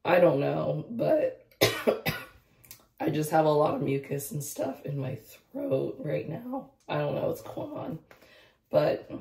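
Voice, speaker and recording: A young woman in her twenties vlogging casually, hoarse as she loses her voice, rambling with lots of fillers. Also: low-pitched, expressive, slow pace, a little mellow, reverberant, recorded from a distance. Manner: rambling, lots of "like" and "I don't know". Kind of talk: casual vlog chat. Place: car, bedroom and outdoor park. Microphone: phone or camera held at arm's length.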